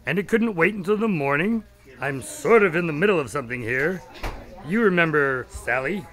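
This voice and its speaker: A man's voice: a little high, animated, moderate pace, fairly bright tone, soft background music, poor audio. An actor playing a polite doctor who narrates and grows exasperated with his friend in a radio drama.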